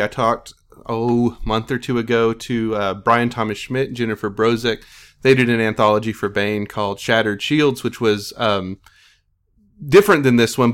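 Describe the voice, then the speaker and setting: A man's voice: medium pitch, a bit bright. A man hosting a discussion with authors, putting questions conversationally and hesitantly as he searches for his wording. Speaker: podcast host interviewing authors.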